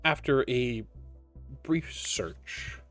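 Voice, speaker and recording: A man improvising narration as game master of a tabletop roleplaying game, often pausing mid-sentence. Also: medium pitch, lively intonation, a bit bright. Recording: music behind the voice. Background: background music.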